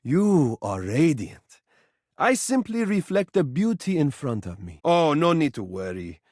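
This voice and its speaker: A male actor voicing a suave living mirror in a video game, an adoring flatterer who gushes with theatrical drama. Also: a little high, unhurried, no room sound, studio quality. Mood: awed, adoring. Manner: effusive, dramatic, gushing, doting. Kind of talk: video game character voice acting, romantic.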